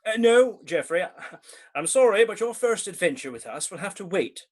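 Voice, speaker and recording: A man's voice: high-pitched, very animated, normal speed. A man acting a gallant, teasing outlaw leader in a radio play, with courtly, playful phrasing. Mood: reassuring, firm.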